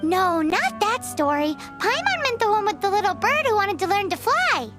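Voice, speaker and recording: A woman voicing a tiny, childlike, cheeky fairy companion in a video game, squeaky and blunt. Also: sing-song, normal speed. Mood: puzzled, insistent. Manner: speaks of herself in third person, blunt. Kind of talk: cartoonish video game character dialogue. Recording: game music behind the voice. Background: game music.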